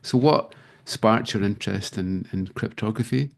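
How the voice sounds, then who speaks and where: Low, creaky male interviewer. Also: moderate pace. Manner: discursive, leads into questions with commentary. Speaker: interviewer, podcast host. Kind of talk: conversational academic interview.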